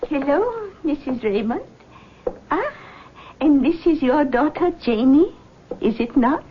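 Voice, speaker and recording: High-voiced, slow, mysterious spirit medium. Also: female voice, lively intonation, a little hiss or hum. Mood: composed, commanding. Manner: slow, halting, theatrical phrasing. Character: solemn, mysterious female spirit medium, commanding yet soothing. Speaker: actress in radio drama. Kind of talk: 1970s radio drama acting. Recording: muffled old radio recording.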